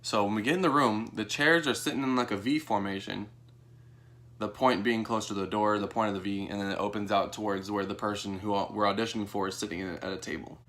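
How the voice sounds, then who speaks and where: A young American man telling a personal story to camera in a casual, animated way, acting out what people said. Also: fast. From Texas, United States. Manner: animated, acts out quoted dialogue in a higher voice. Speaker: vlogger.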